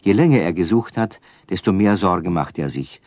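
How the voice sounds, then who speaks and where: A man narrating a children's radio play calmly, addressing the listeners directly. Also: low-pitched, moderate pace, no echo, clean studio sound. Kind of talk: scripted storybook narration.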